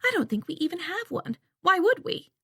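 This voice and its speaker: A woman's voice: extremely high pitch, big pitch swings, brisk, vocal fry, pushed and bright, no room sound, studio quality, voice pushed up, heavily acted. A woman doing a haughty snobbish mother. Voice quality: haughty, barking.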